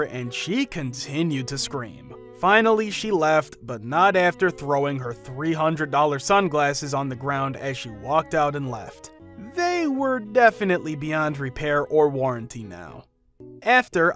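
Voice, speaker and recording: A man narrating first-person workplace stories from a script, with sudden jumps up in pitch and loudness mid-sentence. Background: faint background music.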